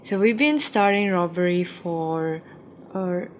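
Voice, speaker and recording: Hesitant young woman, low voice. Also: slow pace, slight background noise. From Ukraine. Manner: hesitant, with many fillers and restarts. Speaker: guest, raw pastry chef and founder. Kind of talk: casual interview answers.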